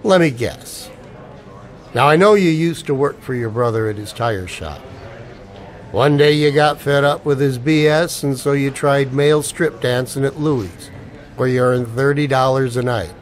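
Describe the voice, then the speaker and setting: A man's voice: mid-range voice, unhurried, lightly acted. An actor playing a wry, wary ex-soldier down on his luck, with dry, sardonic banter in a radio play. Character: wry, wary unemployed ex-Ranger, expectant father. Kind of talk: radio play dialogue in homage to old radio dramas.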